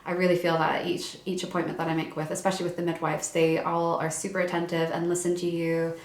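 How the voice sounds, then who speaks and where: A woman's voice: on the low side, monotone, quick pace. A young woman vlogging in a casual, chatty way, full of fillers and restarts. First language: English. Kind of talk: casual chat. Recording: echoey room.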